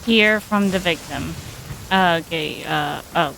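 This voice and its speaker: A woman's voice: fairly low pitch, takes it slowly, creaky. A woman giving casual commentary as she plays through a game. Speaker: gaming streamer. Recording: faint background noise.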